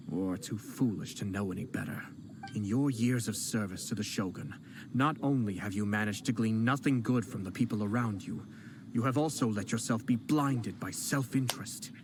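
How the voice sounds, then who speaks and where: An actor playing a composed male noble commissioner in a game, speaking calmly and politely with a cutting edge. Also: on the low side, moderate pace, background noise, natural voice. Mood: disdainful. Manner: calm, polite, coolly cutting. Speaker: game character. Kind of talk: game cutscene voice acting.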